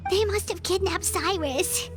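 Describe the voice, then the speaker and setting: A woman voicing a small, excitable, easily startled childlike fairy companion in a video game, with a squeaky voice. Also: unhurried, music in the background. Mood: scared. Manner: speaks of self in third person, exclamatory.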